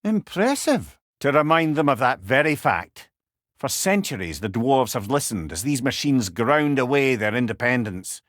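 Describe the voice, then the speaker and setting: A man's voice: medium pitch, big pitch swings, moderate pace, fairly bright tone, no echo, clean studio sound. A man playing a witty, avuncular old Scottish counsellor in a video game, a severed head who talks in a wry, courtly, chatty way with salty turns of phrase. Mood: wry, reflective. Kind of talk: video game character dialogue.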